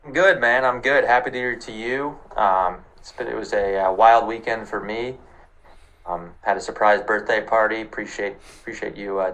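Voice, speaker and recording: A man's voice: on the low side, fairly bright tone, low-quality recording. A man co-hosting a casual sports betting podcast, laid-back and full of fillers, swearing casually. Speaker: podcast co-host.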